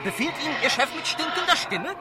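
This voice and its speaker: A derelict male chatterbot tramp rambles disjointedly in a high, animated voice. It is radio drama, heard from a distance. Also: quick pace. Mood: rambling. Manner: disjointed, nonsensical. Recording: distant, loud music behind.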